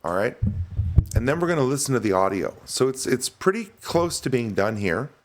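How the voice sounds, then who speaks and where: A man with a mid-pitched voice gives a casual screen-share demonstration of software. He talks informally, thinking aloud as he goes from step to step. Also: very animated, normal speed. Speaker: software demo presenter.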